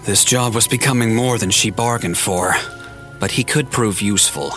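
A man's voice: lowish voice, normal speed, fairly bright tone, soft background music. A man narrating an audio drama in a scripted, dramatic storytelling style.

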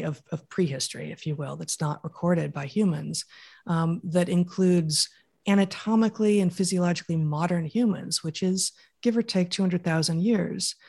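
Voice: medium pitch, creaky, darkish tone, husky. A podcast guest who answers at length in a thoughtful, lecturing way, building each idea step by step. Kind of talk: explanatory interview answers.

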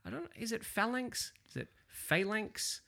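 A man's voice: high voice, lively intonation, unhurried, vocal fry, sharp tone. An Australian man hosting a casual group podcast, chatty and self-deprecating, laughing often. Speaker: podcast host.

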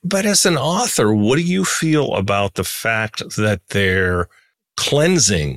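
A man's voice: lowish voice, a bit bright, no echo, studio quality. A man talking casually as he interviews a guest as host.

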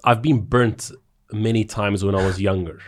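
A man's voice: lowish voice, steady tone, moderate pace. A man with a South African accent explaining sales in a conversational way, acting out bits of example dialogue. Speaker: sales expert, interview guest.

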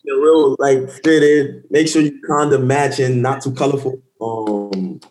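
A man's voice: medium pitch, steady tone, measured pace, a little mellow, slight background noise, rough recording. A man from Guyana, a rapper guest talking in a laid-back casual way. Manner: laid-back, says "you feel me" a lot. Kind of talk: casual interview chat.

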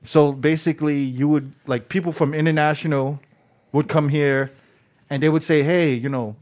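A man's voice: fairly high pitch, flat delivery, normal speed, a little mellow. A young man hosting a podcast in casual chat, teasing his guests, swearing and laughing a lot. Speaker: podcast host.

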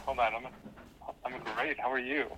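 A man's voice: mid-range voice, fairly even intonation, normal speed, bright, forceful tone, low-quality recording. A man on parish staff chatting casually over a speakerphone call from an office. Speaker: parish staff member, phone guest. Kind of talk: casual phone chat. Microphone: phone on speaker.

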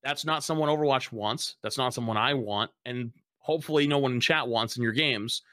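A man's voice: mid-range voice, brisk, pushed and bright, dry recording, clean studio sound. A young man speaking bluntly in a casual panel discussion, swearing freely as a streamer sharing his view.

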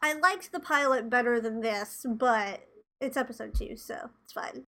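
Woman in her twenties, high voice, nasal, congested from a cold, casual podcast chat. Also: moderate pace, sharp tone. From Tennessee, USA. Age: 20s. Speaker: podcast co-host.

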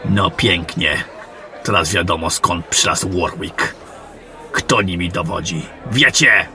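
A man playing a gruff, battle-worn, commanding general in a fantasy audio drama, blunt and suspicious in his questioning. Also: sits low, slow, bright, forceful tone, some chatter behind, exaggerated voice. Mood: grim. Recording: music behind the voice.

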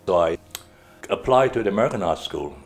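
An older man from Trinidad, talking as an interview guest in a halting way, repeating words and laughing often. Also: low-pitched, lively intonation, faint music behind. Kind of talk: casual interview talk.

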